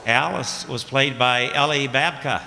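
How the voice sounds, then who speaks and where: A man announcing closing credits for a radio play, informal and halting. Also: medium pitch, vocal fry, a loud crowd. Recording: echoey hall, loud noise, audience sounds.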